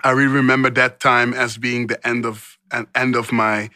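Bright-voiced man asking reflective questions. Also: mid-range voice, level tone, normal speed, no room sound, studio quality. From Amsterdam, Netherlands. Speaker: host. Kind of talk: casual interview conversation.

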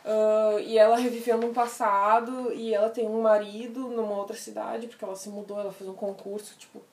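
A woman's voice: mid-range voice, flat delivery, fairly quick, a little mellow, far from the mic. Podcast co-host, woman, loose slangy talker, recorded in a roomy space set back from the mic. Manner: slangy, rambling, laughs often. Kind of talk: casual chat.

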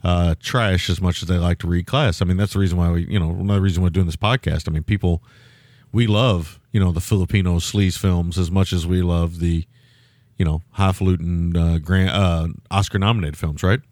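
A man with a loud, low voice talks through a movie in relaxed podcast chat. He rambles, doubles back and fills gaps with ums and uhs. The sound is dry, with no room in it. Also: a little fast, no echo. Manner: rambling, many fillers and restarts. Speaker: podcast co-host. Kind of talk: casual film-review chat.

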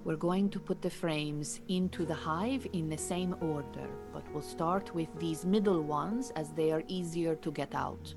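A woman playing a firm, measured beekeeping teacher in an audio drama, giving instructions. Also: low voice, over music. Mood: quietly pleased.

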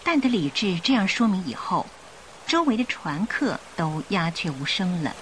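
A woman's voice: on the low side, sing-song, moderate pace, slight background noise, low-quality recording. A woman narrating a scripted radio drama in a theatrical storytelling manner.

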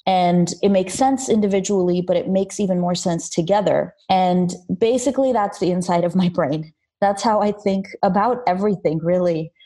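Young woman designer, interview guest; reflective and eager, lots of ums, husky low-ish tone. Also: moderate pace, warm tone. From Armenia, raised in Los Angeles. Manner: reflective, enthusiastic, many fillers and restarts. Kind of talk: casual interview.

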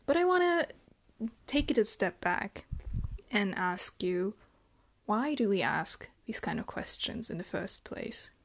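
Young woman vlogger from Germany, unhurried and reflective, pausing to find her words. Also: mid-range voice, very animated, gravelly. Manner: thoughtful, hesitant, halting phrasing. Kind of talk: reflective personal monologue.

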